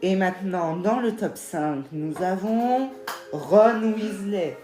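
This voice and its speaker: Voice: mid-range voice, takes it slowly, warm tone, roomy sound, soft background music. A speaker co-hosting a casual ranking video, reading out the entries in a matter-of-fact way. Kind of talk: casual scripted chat.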